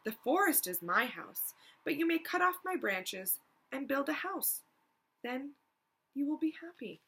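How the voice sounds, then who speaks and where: A woman voicing a gentle, loving female tree in a storybook read-aloud, tender and giving. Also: high-pitched, big pitch swings, a little slow, voice pushed up, full character voice. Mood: warm, generous.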